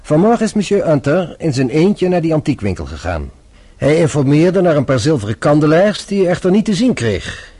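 An actor playing a shrewd French police inspector in a radio drama, formal, measured and politely probing. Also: male voice, a little high, sing-song, warm tone, breathy edge. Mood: dry, measured.

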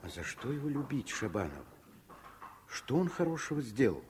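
An actor playing a principled, emphatic doctor and fond father with a husky voice in a 1950s Soviet radio play. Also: medium pitch, very animated, normal speed, darkish tone, slight background noise, lightly acted. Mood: scornful indignation.

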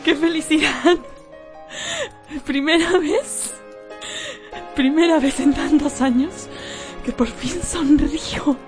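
A woman's voice: high voice, slow, pauses often, faint music behind. An actress in a radio drama playing a desperate, tearful young married woman with a pleading, theatrical delivery. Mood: hysterical joy.

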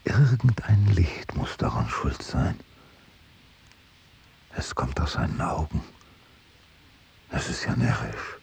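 An actor playing a tormented, sleepless prosecutor in a radio drama, murmuring halting, repetitive thoughts near delirium. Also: male voice, rumbling low, creaky, a little mellow, husky, full character voice. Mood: dazed, haunted. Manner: halting, repetitive, often whispering. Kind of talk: radio drama inner monologue. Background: music.

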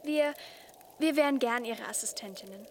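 A woman's voice: on the high side, moderate pace, darkish tone, a little hiss or hum, natural voice. An actress playing an earnest, eager young female musician in a radio drama. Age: young adult.

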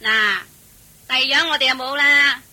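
Grumbly young hong kong cantonese wife in a radio play, high sharp voice, curt and complaining. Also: female voice, moderate pace. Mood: irritated, complaining. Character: grudging, complaining, hard-up young wife and daughter. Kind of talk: Chinese radio drama acting.

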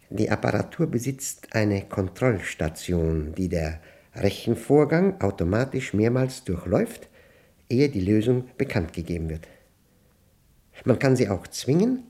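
An actor playing a reclusive, proud older mathematics professor in a radio play monologue, speaking with gravelly, pedantic, halting precision. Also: male voice, sits low, a little mellow, exaggerated voice.

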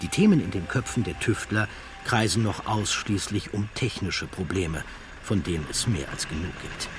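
A man reading scripted documentary narration in a measured, factual manner. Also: low voice, animated, over loud music.

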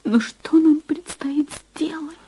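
An actress in an audio drama playing an anxious, emotional film star and newlywed wife. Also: female voice, high voice, takes it slowly, dark tone, rough recording. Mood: tearful. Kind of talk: radio play acting.

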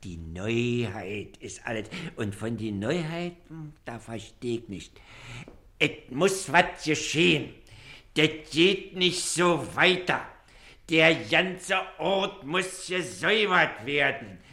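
An actor playing a simple, self-important constable in a radio play, with a Berlin accent and a ponderous, repetitive way of warning darkly. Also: male voice, a little high, expressive, takes it slowly, sharp tone, exaggerated voice. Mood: ominous, self-important. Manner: ponderous, repeats himself. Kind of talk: radio play dialect comedy acting.

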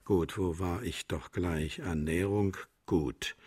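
An actor in a German radio play voicing a grumpy, vain aging official, curt and disdainful. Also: male voice, deep voice, slow pace, darkish tone, husky, heavily acted. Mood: impatient. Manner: curt, muttering, disdainful, indignant when challenged. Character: grumpy, vain, aging male official sorting human candidates.

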